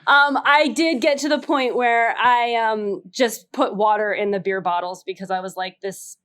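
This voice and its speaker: A woman casually telling a personal story as a panelist, stressing words in an animated way. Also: fairly high pitch, moderate pace, clear, resonant tone. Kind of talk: casual anecdote on a comedy game podcast.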